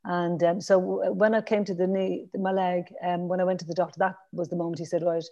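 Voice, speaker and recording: A woman's voice: fairly low pitch, level tone, brisk, mellow, highs cut off. A woman in her fifties with a Dublin Irish accent, chatting as a podcast guest in a rambling way, with restarts and fillers. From Ireland. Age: 50s. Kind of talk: casual interview conversation.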